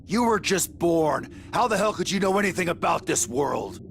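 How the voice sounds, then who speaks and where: An actor with an American accent playing a tough, irritable warrior in an anime game, gravelly, blunt and curt. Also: male voice, sits high, animated, normal speed, noisy background. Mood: confrontational.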